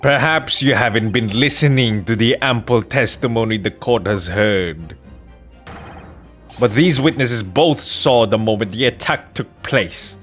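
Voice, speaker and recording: A man playing a formal, accusing lawyer in a courtroom video game, with deliberate, declamatory delivery. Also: mid-range voice, a bit bright, soft background music, natural voice.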